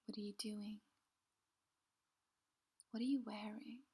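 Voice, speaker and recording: Woman, meditation guide; slow breathy whisper, flat and calm delivery. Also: medium pitch. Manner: soft, slow, soothing whisper.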